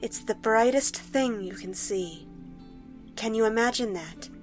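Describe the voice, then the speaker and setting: An actress playing a calm, tender elf woman in an audio drama, speaking in a hushed, soothing, hypnotic manner. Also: on the high side, measured pace, close to an everyday voice. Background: music.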